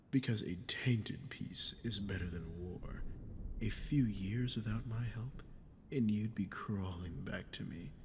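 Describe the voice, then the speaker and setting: Man voicing a slow, gravelly, taunting demon. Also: fairly low pitch, a little hiss or hum, heavily acted. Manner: taunting, deliberate, theatrical, speaking straight to the listener. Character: ancient sadistic male demon, condescending, menacing, seductive manipulator. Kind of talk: scripted ASMR roleplay monologue.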